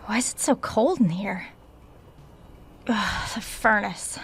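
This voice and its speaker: A woman's voice: medium pitch, slow pace, breathy edge, exaggerated voice. An actress in an audio drama playing a stubborn, defensive woman novelist around thirty, with a clipped manner. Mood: muttering, uneasy. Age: young adult.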